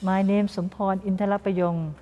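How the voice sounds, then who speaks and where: Female guest artist, Thai accent, mellow voice, broken English in short repeated phrases, recorded with long room reverb. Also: medium pitch, flat delivery, soft background music. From Thailand. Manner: halting phrases, non-native grammar, repeats words. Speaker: guest artist and doll maker. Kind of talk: interview answers in simple English.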